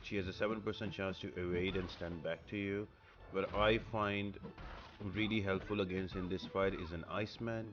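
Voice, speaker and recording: This is a man with a low-pitched voice. He narrates a gameplay guide in a casual, instructional way. He explains tactics as the host of a walkthrough.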